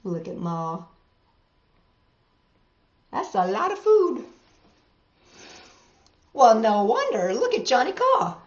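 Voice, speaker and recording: A woman reading picture books aloud to children, expressive and exclaiming, lingering on words and adding chatty asides. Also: a little high, slow pace, long pauses. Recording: dull, echoey room recording.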